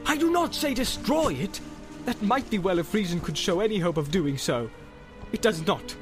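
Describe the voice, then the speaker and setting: A man's voice: high-pitched, lively intonation, moderate pace, music in the background, voice pushed up. A man voicing a proud, hot-tempered tall warrior in a storybook reading, declaiming insistently in archaic, formal speech. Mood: indignant, scornful.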